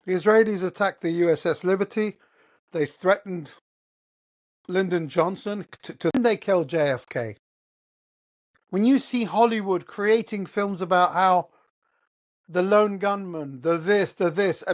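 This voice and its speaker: A man with an English accent, hosting a heated solo monologue, blunt and profane, firing off rhetorical questions. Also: high voice. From England. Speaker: host. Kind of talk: ranting monologue.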